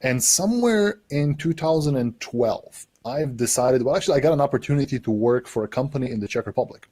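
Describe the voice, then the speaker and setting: A man's voice: medium pitch, lively intonation, fairly quick, mellow. A man in his thirties from Serbia, a native Serbian speaker, chatting casually as an interview guest on a video call. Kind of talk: casual chat. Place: small room at home.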